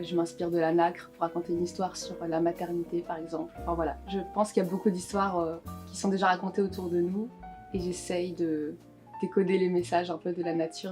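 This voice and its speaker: A woman in her twenties, a singer-songwriter, answering interview questions in a relaxed, thoughtful way with frequent hesitations. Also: fairly low pitch. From Guadeloupe, born in Paris. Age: 20s. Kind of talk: casual interview answers to camera.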